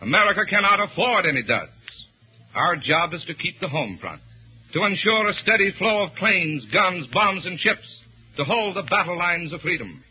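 Brief: vintage male radio announcer, high-pitched, earnest and declamatory, scripted wartime appeal, dull lo-fi broadcast sound. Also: big pitch swings, breathy edge. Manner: declamatory, earnest, measured phrasing. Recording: muffled old 1940s radio recording.

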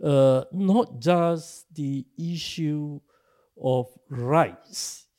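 An older man from Singapore with a Singaporean English accent, giving slow, halting answers in an interview, full of filler pauses. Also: on the high side, a little mellow. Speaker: interview guest, activist.

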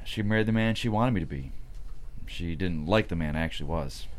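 A man's voice: deep voice, fairly quick, slight background noise, natural voice. A man reading easygoing, teasing male parts in a live comedy-drama table read. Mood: subdued, regretful. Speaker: actor at a script table read.

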